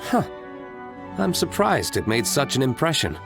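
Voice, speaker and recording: A man's voice: medium pitch, wildly swooping pitch, measured pace, gravelly, loud music behind the voice, heavily acted. A man playing a cool, aloof, confident young nobleman swordsman in a video game, speaking in a composed, understated way. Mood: cool, questioning. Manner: composed, understated, self-assured. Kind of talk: dramatic video game voice acting.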